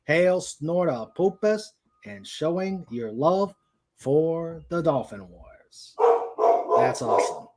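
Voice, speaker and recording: A man hosting a casual livestream chat, speaking haltingly as he searches for his words. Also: on the high side, lively intonation, darkish tone, low-quality recording. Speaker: host. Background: dog noises and clicks.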